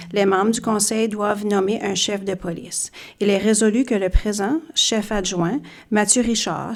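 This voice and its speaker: A town clerk reading a council resolution aloud in a formal, steady way. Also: female voice, mid-range voice. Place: council chamber.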